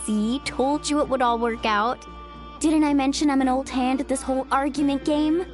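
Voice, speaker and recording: A woman's voice: high-pitched, fairly even intonation, normal speed, music in the background, close to an everyday voice. A woman voicing a cheeky, boastful, mischievous teenage girl in a video game. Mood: smug. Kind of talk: video game character acting.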